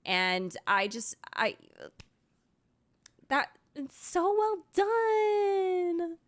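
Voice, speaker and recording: A woman's voice: very high voice, sing-song, drawn-out words. A young woman chatting casually as a streamer reacting to a game, in a gushing, emotive way.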